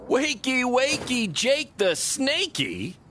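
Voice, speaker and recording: A man's voice: extremely high pitch, huge pitch swings, slow, background noise, exaggerated voice. A man playing a wrestler's chummy, upbeat manager in a video game, coaxing and jokey. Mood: playful, excited. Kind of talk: video game story-mode acting.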